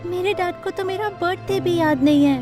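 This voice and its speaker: A woman's voice: very high-pitched, normal speed, music in the background, voice pushed up. A woman voicing a spoiled, dramatic but lonely young actress in a cartoon story, whiny and haughty. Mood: sad, confiding.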